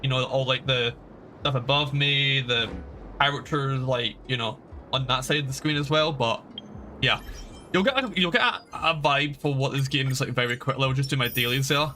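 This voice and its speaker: A man's voice: medium pitch, normal speed, pushed and bright, slight background noise. A man giving casual livestream game commentary in a rambling, informal, emphatic way. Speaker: game streamer. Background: game music.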